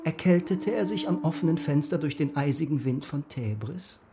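An actor playing an Ottoman miniature painter in a radio drama, telling fables in a deliberate, formal way with drawn-out emphasis. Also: a little high, lively intonation, background noise. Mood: measured storytelling. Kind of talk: literary radio drama storytelling. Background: music.

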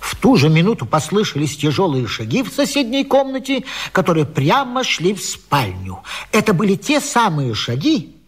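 High-pitched, flustered, pompous gentleman. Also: huge pitch swings, normal speed, breathy edge, full character voice. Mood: anxious storytelling. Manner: pompous, agitated, indignant. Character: fussy, flustered respectable married man caught under a bed. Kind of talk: theatrical radio vaudeville acting.

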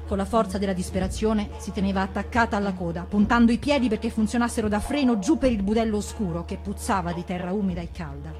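A woman reading a story aloud as an actress, in an expressive storybook narration. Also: medium pitch, quick pace, hardly any pauses, faint music behind. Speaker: actress reading stories on radio.

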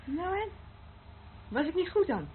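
A woman's voice: extremely high pitch, big pitch swings, a little slow, exaggerated voice. A woman acting a brazen, seductive, volatile agent in a Dutch radio play, with a theatrical, taunting manner. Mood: teasing. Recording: narrow phone-like old radio recording, faint noise.

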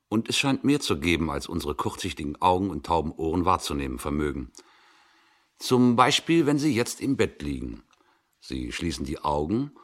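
A man reading a short story as 1960s radio storytelling, wry and conversational with deliberate pauses. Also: deep voice, fairly bright tone.

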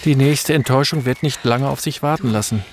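An actor narrating a historical radio drama in a measured, dry, matter-of-fact documentary style. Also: male voice, mid-range voice, a little hiss or hum, natural voice. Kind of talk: scripted narration.

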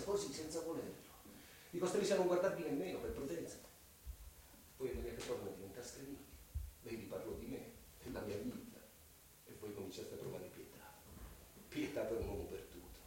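A man acting a desperate, obsessive suitor in a radio play. He implores with formal, intense words, sounding distant in a very echoey room with clear noise behind him. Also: medium pitch, warm tone, close to an everyday voice. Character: obsessive lovelorn man, desperate, pleading, guilt-stained seducer. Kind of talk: theatrical radio drama acting. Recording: roomy, distant, noisy stage-like radio recording.

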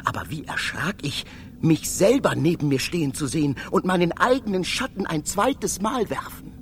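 Astonished adventurer, expressive radio drama narration, lively intonation, faint rumbly noise behind. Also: male voice, moderate pace. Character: startled male adventurer meeting his own double.

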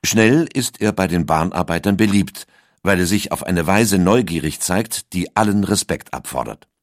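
A man narrating a documentary in a calm, measured storytelling manner. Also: sits low, no echo, studio quality.

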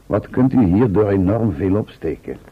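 A man's voice: fairly low pitch, expressive, moderate pace, lightly acted. A man playing a baffled, protesting doctor in a radio play, speaking precisely and with dry sarcasm. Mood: sarcastic. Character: baffled, protesting male doctor, the professor's sceptical colleague. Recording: dull old radio recording.

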